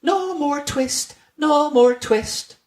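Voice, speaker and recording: A tiny, merry storybook mouse, acted out by an adult narrator, pitched far up into a small twittering voice. The delivery is singsong and cheeky, like nursery rhymes being chanted. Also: extremely slow, darkish tone. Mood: taunting. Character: chorus of tiny merry, cheeky little mice singing rhymes.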